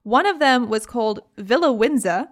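A young British woman hosting a podcast, telling a story in a casual, chatty way with lively asides. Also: fairly high pitch, normal speed. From Britain. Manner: chatty, animated, frequent laughs and asides. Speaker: podcast host.

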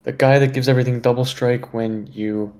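A man with a mid-range voice co-hosts a casual podcast, chatting in a relaxed way. He leans on fillers and lets his sentences fade softly. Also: mid-range voice, a little fast. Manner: relaxed, lots of fillers, trails off quietly. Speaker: podcast co-host.

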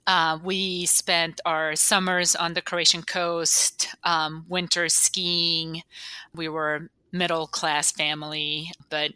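Low, unhurried woman telling her story. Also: level tone, pushed and bright, clear, resonant tone, dry recording. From Bosnia (former Yugoslavia). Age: 40s. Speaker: interview guest. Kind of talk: personal storytelling in an interview.

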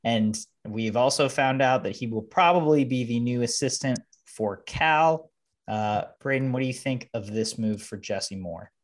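A man's voice: medium pitch, a little slow. A man hosting a casual sports podcast who hands off topics to his co-hosts. He has an easy, lightly amused tone. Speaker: podcast host.

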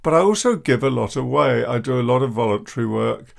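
Deep croaky-voiced older man. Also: animated. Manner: downbeat, measured and self-deprecating. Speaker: podcast host, therapist and coach. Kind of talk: reflective podcast monologue.

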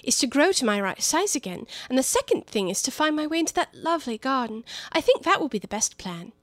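A woman's voice: high-pitched, sing-song, a little fast, a bit bright, no room sound, pitched above the performer's own voice. A woman voicing a curious, determined young girl talking to herself. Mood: musing.

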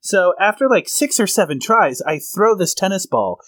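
A man's voice: high voice, sing-song, normal speed, fairly bright tone, dry recording. A man co-hosting a comedy podcast in casual chat, explaining things at length and telling stories. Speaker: podcast co-host.

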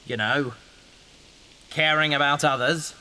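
An actress playing an earnest researcher on a risky space mission, pleading and challenging in an audio drama. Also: female voice, low-pitched, fairly even intonation, slow, pushed and bright, heavily acted, rough recording. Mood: needling. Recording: faint hiss behind the voice.

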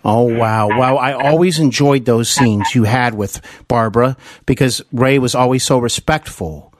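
A man hosting a podcast interview, speaking in a deferential, admiring and halting conversational way. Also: medium pitch.

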